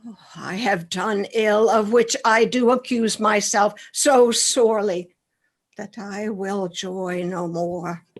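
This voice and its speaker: A woman's voice: mid-range voice, takes it slowly. A woman playing a remorseful soldier who deserted his master, speaking in anguished, declamatory Shakespearean radio play style.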